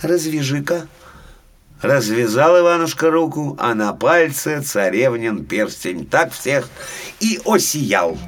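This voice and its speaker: A man narrating a folk tale for small children as an expressive, dramatic storyteller. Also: mid-range voice, slow. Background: faint music.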